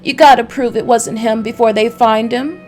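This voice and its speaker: A woman performs an anxious young woman in a noir mystery game. Her voice sits high above a faint music bed. She pleads haltingly and repeats herself, as if begging a detective for help. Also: fairly even intonation, darkish tone, close to an everyday voice. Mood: desperate.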